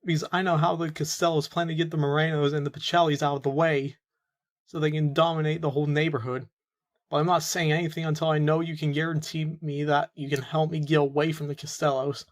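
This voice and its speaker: A man's voice: a little high, little pitch movement, fast, natural voice. A young male captive, played by a man, speaks defensively in a crime audio roleplay. He sounds earnest and protesting, with a streak of defiance toward those holding him. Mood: guarded, defiant. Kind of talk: amateur audio drama roleplay.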